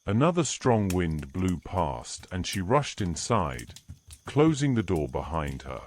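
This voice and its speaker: Deep slow male narrator. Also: big pitch swings, slight background noise. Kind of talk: scripted narration.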